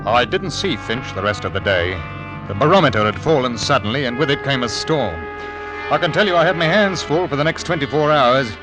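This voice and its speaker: A man acting a stern but anxious sea captain in an old radio play. He speaks in a grave, dramatic storyteller's manner at a medium pitch, with music swelling behind him. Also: expressive, brisk, natural voice, poor audio. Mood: grave, haunted. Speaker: actor narrating and playing the captain.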